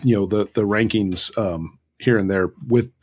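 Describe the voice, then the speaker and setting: A man's voice: on the low side, normal speed. A man talking casually as an interview guest, telling stories in a self-deprecating way with acted-out asides. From Southern California. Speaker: guest author.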